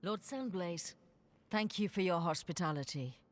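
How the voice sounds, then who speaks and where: A woman's voice: on the low side, normal speed, lightly acted. An actress playing a dry, matter-of-fact female adventurer in a fantasy video game.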